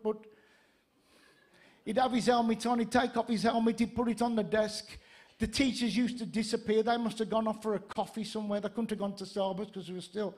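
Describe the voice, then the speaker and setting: A man in his fifties with a north-east English accent, preaching as a pastor in an animated, conversational storytelling way into a handheld microphone. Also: very high-pitched. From Gateshead, north-east England. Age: 50s. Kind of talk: sermon with humorous anecdotes. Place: church stage, indoors.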